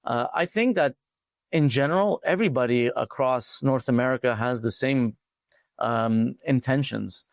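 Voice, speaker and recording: A man from Montreal, talking thoughtfully as a podcast guest, with many pauses and "uh". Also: mid-range voice, creaky, no echo, studio quality. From Montreal, Canada. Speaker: financial coach, podcast guest. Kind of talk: casual interview talk.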